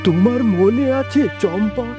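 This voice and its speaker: A man's voice: sits high, a little slow, over music. A man playing an anxious, tender village father in a plaintive, earnest cartoon style. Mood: wistful, worried. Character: anxious, tender village father, aging, devoted to his daughter. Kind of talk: dramatic cartoon dubbing.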